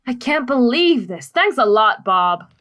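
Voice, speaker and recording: Casting: woman as sardonic ex-wife, high and piercing, animated, radio drama. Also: unhurried. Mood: exasperated. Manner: sharp, sardonic. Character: snippy, sarcastic, exasperated superhero's estranged wife. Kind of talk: radio play acting.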